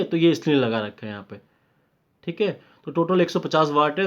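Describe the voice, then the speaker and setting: Indian male technical trainer, slightly high voice, informal explainer who repeats steps and drops in English terms; muffled lo-fi recording. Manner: explanatory, repetitive, often checking in with short confirmations. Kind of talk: informal step-by-step technical tutorial. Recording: dull lo-fi microphone sound.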